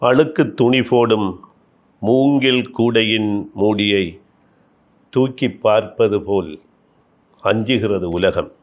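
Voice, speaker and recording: A man's voice: fairly low pitch, slow pace, long pauses. A man reciting free verse as a poet. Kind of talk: poetry recitation. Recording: slightly dull, muffled sound.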